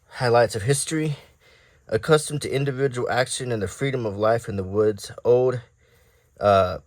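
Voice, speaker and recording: A man reading an old newspaper aloud in a low-key, casual ASMR style, adding offhand comments as he browses. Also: dry recording, studio quality.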